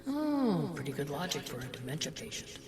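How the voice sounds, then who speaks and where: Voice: mid-range voice, very animated, a little fast, vocal fry, crowd noise. An actor playing the mocking, disgusted inner voice of an old man, sardonic and needling, in an audio drama. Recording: roomy echo, music behind.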